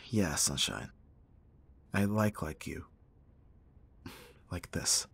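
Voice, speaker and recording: A man playing a gruff but tender bodyguard in an ASMR roleplay, speaking in a husky, breathy, halting way with guarded emotion. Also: low voice, lively intonation, exaggerated voice. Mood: tender confession.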